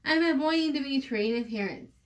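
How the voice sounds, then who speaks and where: Woman reading as earnest, high-voiced young woman. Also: lively intonation, quick pace, distant mic. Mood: earnest, pleading. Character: earnest, homesick young woman in stage play.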